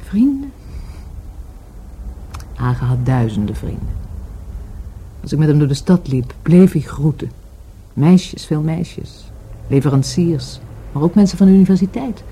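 An actress in a Dutch radio drama playing a wary woman questioned about her dead friend, with a husky voice. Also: deep voice, very animated, measured pace, pauses often, warm tone, a little hiss or hum, poor audio. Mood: reminiscing.

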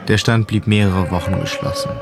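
A man's voice: low voice, fairly even intonation, fairly quick, over music. A man reading scripted documentary narration.

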